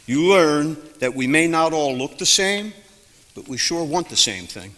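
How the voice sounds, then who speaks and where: A man's voice: a little high, expressive, a little slow, sharp tone, a little hiss or hum. A man playing a folksy ranting war veteran. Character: 1962 Southern drugstore owner, war veteran, opinionated. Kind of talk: student stage play. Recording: reverberant hall.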